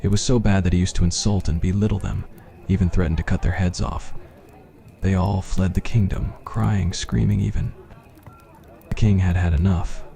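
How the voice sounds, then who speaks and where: A man's voice: deep voice, steady tone, moderate pace, vocal fry, mellow. A man reading a scripted fantasy roleplay as ASMR story narration.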